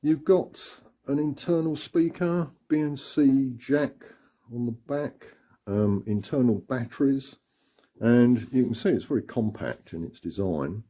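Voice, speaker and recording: A man with a husky voice giving an unhurried, matter-of-fact hands-on demonstration of equipment. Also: medium pitch, warm tone. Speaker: radio hobbyist presenter.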